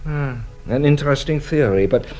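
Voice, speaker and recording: An actor playing a shrewd, courteous French police inspector in a radio drama, questioning with theatrical politeness. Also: male voice, on the high side, moderate pace, warm tone, faint music behind, lightly acted. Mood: pointed.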